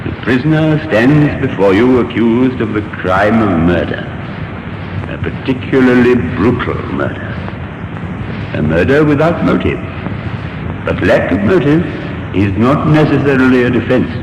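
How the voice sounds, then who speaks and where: A man's voice: mid-range voice, expressive, lightly acted. A man playing a solemn, formal judge addressing a jury in an old-time radio drama. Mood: grave, formal. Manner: grave, measured, formal. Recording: echoey, muffled, noisy old radio recording.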